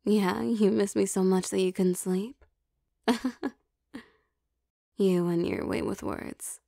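A young vampire girlfriend, acted by a woman in an intimate audio roleplay. She speaks slowly with a gravelly fry at the edges, teasing and possessive. The sound is dry and close, with soft, coaxing phrasing and hesitant pauses. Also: mid-range voice, dry recording.

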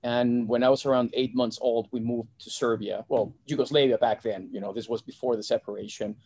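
A man's voice: mid-range voice, a little fast, husky. A middle-aged man from Mexico, a guest talking casually about his life over a video call from a home office. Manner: relaxed, chatty, frequent restarts. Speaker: guest, investment bank founder. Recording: dry video call audio.

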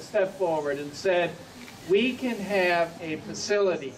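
A middle-aged man making a public speech outdoors at a ribbon cutting. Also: high voice. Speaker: ceremony speaker. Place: outdoors at a podium before a crowd. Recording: distant outdoor mic, clear crowd noise.

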